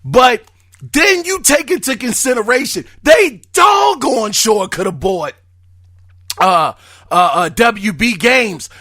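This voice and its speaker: A man hosting his own podcast, talking in a hoarse voice and often shouting with excitement. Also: very high voice, slow. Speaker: podcast host. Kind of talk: casual podcast monologue.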